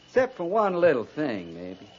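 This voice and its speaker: A man's voice: a little high, huge pitch swings, normal speed. A man playing a wry, grumbling but loyal frontier sergeant with a rustic American drawl in a 1950s radio western. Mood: wry, easygoing. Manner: drawling, sardonic understatement. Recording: dull, noisy 1950s radio recording.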